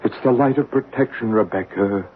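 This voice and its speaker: An actor playing a male psychic who guides a séance in slow, hushed tones and narrates the story, in a 1970s radio drama. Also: on the low side, slightly rough. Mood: soothing. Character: calm, soothing male psychic guiding a séance. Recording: narrow, hissy old radio broadcast.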